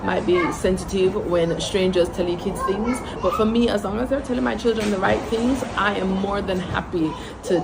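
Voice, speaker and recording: Confident mum, gravelly voice. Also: female voice, medium pitch. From Jamaica. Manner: confident, listing points in order. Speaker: mother vlogger. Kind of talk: casual talk to camera. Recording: loud background noise.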